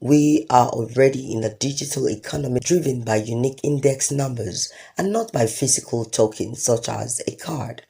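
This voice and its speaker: A woman with a husky voice, reading scripted documentary narration. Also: extremely low pitch, dark tone. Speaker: reporter narrator.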